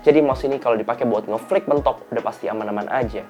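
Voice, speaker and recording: A man's voice: mid-range voice, very fast, soft background music. A young man hosting a casual tech review, his nose stuffed up and his voice tired from being run-down. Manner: relaxed, chatty, slang-heavy delivery.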